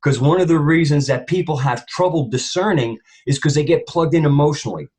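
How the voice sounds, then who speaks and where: Husky-voiced man on a discussion panel. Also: a little high, a little fast, a little mellow.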